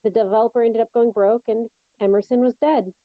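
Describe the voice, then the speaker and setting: A woman's voice: fairly high pitch, steady tone, a little fast, no echo, natural voice. A woman playing a teasing, protective young friend in a horror script table read, wry in manner, on a dull lo-fi microphone. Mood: matter-of-fact.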